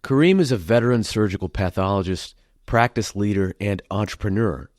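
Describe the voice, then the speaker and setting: A man with a fairly low voice hosts his podcast. He speaks in a measured, earnest way into a close mic. The sound is dry, with no room echo. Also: expressive, a little mellow. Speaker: podcast host. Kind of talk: scripted intro and interview questions.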